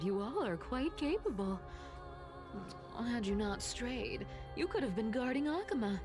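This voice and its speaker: An actress voicing a sly, playful female assassin in a video game, teasing and coolly menacing in a breathy, theatrical drawl. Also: medium pitch. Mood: mock admiring. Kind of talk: English game voice acting, theatrical monologue.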